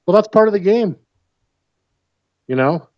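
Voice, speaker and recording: A man's voice: high-pitched, lively intonation, unhurried, dry recording. A man from the United States, a call-in guest chatting casually and pressing his opinions with rhetorical questions over lo-fi call audio. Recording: dull lo-fi call audio, dry.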